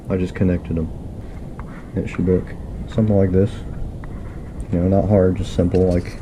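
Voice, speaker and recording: A man casually walking through a do-it-yourself wiring job, relaxed and rambling with plenty of fillers. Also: sits low, background noise. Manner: laid-back, rambling, filler-heavy explaining. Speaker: DIY video host. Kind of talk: casual how-to walkthrough. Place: inside a pickup truck and around it, at night.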